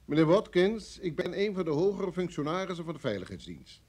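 A brusque senior official of a secret service in a vintage radio play. His husky voice sits fairly high and swings widely as he speaks with formal authority. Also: sing-song, darkish tone. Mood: firm, persuasive. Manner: authoritative, formal, measured phrasing. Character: senior security service chief, brusque, pressured, formally apologetic. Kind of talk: vintage Dutch radio drama acting.

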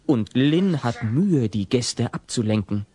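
A man's voice: mid-range voice, animated, takes it slowly, crowd noise. A man narrating a scripted comedy audio play as its storyteller.

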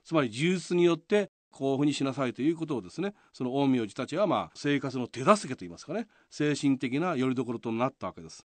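Male radio commentator, courteous and explanatory, expressive pitch, dry close sound. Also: fast talker, hardly any pauses, dry recording. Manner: polite, explanatory. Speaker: presenter.

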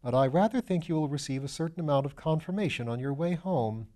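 A man's voice: a little high, lively intonation, fast talker, mellow, pitched above the performer's own voice, lightly acted. A man voicing a flippant, shrewd aristocratic detective, breezy and assured, in a storybook reading. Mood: urgent, assured. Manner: breezy, self-deprecating, assured.

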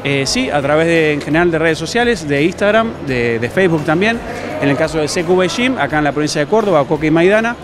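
Higher-voiced Argentine man explaining at length. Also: animated, fast talker, over music. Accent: Argentine Spanish. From La Plata, Argentina. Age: 30s. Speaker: self-defense instructor being interviewed. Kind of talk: spontaneous interview answers. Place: large busy gym hall, indoors. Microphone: handheld reporter microphone.

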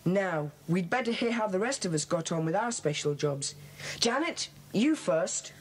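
Husky, bossy English schoolboy leader. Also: female voice, fairly low pitch, lively intonation. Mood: brisk, bossy. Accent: British. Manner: brisk, authoritative. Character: schoolboy Peter, brisk bossy club leader. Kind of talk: children's audio drama acting.

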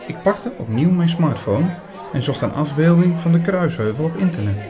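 A man playing an earnest older priest who narrates a radio drama in a measured way, with dramatic pauses. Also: on the high side, expressive, lightly acted. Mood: reflective narrating. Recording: busy chatter behind the voice. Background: busy restaurant crowd.

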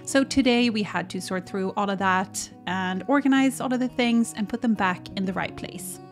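A woman's voice: medium pitch, moderate pace, soft background music. A woman, chatting casually to camera as a vlogger in a small boat cabin, rambling and correcting herself as she goes. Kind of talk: casual vlog chat to camera.

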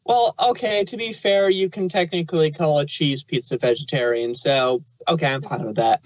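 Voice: mid-range voice, normal speed, no echo, lo-fi sound. A podcast reviewer chatting casually and puzzling things out aloud. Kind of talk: casual chat reviewing an audio drama.

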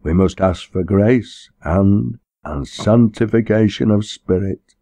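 A man's voice: on the low side, slow, mellow, dry recording. A man voicing a serene, self-assured parish minister in an audiobook, formal and sermonizing. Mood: pious. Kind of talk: audiobook character dialogue.

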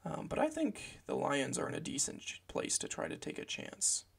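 A young man with a gravelly voice, hoarse from a cold, giving casual football draft commentary from a small room at home, rambling with lots of fillers. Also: medium pitch. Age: young adult. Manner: meandering, filler-heavy, self-correcting.

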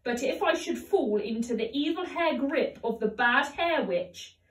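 A woman's voice: high voice, animated, a little slow. Lady doing a flustered barber guy from a kids book, dramatic, roomy sound a bit far from mic. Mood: reluctant, exasperated. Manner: dramatic, stammering when scared. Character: cheerful, nervous barber man, easily flustered storybook hero. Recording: roomy echo, distant microphone.

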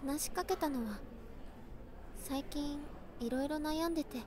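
A woman playing a polite, earnest teenage high school girl in an amateur audio drama, speaking in courteous, formal phrasing. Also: unhurried, a crowd in the background. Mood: shy, candid.

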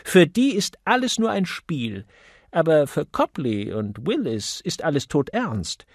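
A man voicing a gossipy, opinionated young female typist in an audiobook, with lively, emphatic delivery. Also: fairly high pitch, unhurried, dry recording, clean studio sound, pitched above the performer's own voice, exaggerated voice. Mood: indignant gossip. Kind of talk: audiobook character voice.

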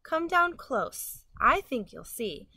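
A woman's voice: high voice, big pitch swings, takes it slowly, pushed and bright, slightly rough, no room sound, exaggerated voice. A young woman reading the part of a tiny, earnest, plucky ant in a storybook, pleading with careful emphasis. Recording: outdoor ambient noise.

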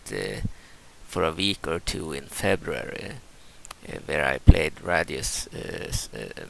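A young Swedish man speaks English with a Swedish accent in a deep, low register with heavy vocal fry. He talks casually and haltingly, often stopping to restart a thought. A faint hiss sits under the recording. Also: fairly bright tone, low-quality recording. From Sweden. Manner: hesitant, many fillers and restarts. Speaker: gaming channel host. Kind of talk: casual gameplay mod walkthrough.

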